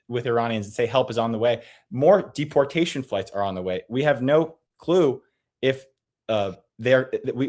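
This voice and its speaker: Earnest male advocate from the US, mid-pitched, quick and stumbling, heard over a video call. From United States. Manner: earnest, rapid, with restarts and nervous laughs. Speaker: advocacy group guest. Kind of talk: interview, political advocacy.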